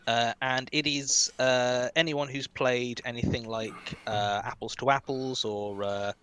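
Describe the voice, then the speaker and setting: A man with a loud, powerful voice explaining a card game over voice chat. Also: medium pitch, fairly even intonation, a little slow, slight background noise. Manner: loud, forceful delivery.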